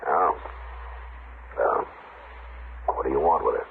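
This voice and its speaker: An American man playing a steady, gruff, patient frontier marshal in an old radio western, speaking in a halting, laconic way. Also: rumbling low, exaggerated voice. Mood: firm, guarded. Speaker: radio drama actor. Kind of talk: old-time radio western acting and narration. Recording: muffled, noisy old radio recording.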